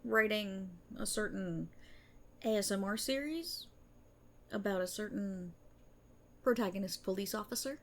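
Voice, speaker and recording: A woman's voice: medium pitch, measured pace, long pauses, close to an everyday voice. A woman playing an exasperated, self-aware policewoman in an audio roleplay, in a sarcastic, indignant and theatrical manner. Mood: probing, wry. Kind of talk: ASMR audio roleplay monologue, dramatic acting.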